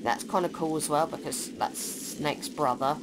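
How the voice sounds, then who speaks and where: Very low-voiced woman chatting over a game. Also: measured pace, noisy background. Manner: informal, blunt. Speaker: gameplay video co-commentator. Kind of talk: casual chat reacting to game cutscenes. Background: game soundtrack music.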